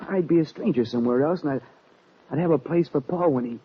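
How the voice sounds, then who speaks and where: An actor playing a naive, earnest young cowboy in an old-time Western radio drama, with plaintive rustic speech. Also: male voice, fairly high pitch, fast talker, lightly acted. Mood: resigned, earnest. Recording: muffled old radio recording, faint noise.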